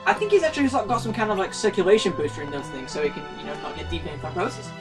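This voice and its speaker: A man's voice: sits high, animated, rapid-fire, far from the mic. A man co-hosting a let's play in casual gaming chat. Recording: music behind, off-mic group commentary. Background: video game music.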